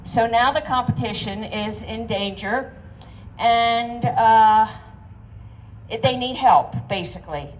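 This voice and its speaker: An older woman, a retired teacher, giving an indignant public comment at a town hall, heard from a distant mic. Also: a little high. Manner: indignant, deliberate. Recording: distant mic, faint noise.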